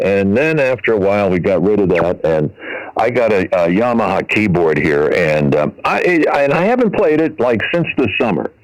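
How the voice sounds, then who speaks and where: Animated man chatting over ham radio, dry sound. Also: fairly low pitch, no room sound, poor audio. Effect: heard over radio. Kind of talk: casual, rambling chat.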